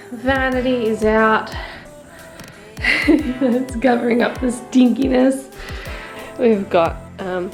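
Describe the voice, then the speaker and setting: A young Australian woman talks to a handheld camera at arm's length, her voice a little snuffly from a blocked nose. She chats loosely with plenty of fillers and quick laughs. Upbeat music plays clearly behind her. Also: medium pitch. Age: young adult. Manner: chatty, laughing, filler-heavy. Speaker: vlogger. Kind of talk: casual vlog chat. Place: home bathroom under renovation, indoors.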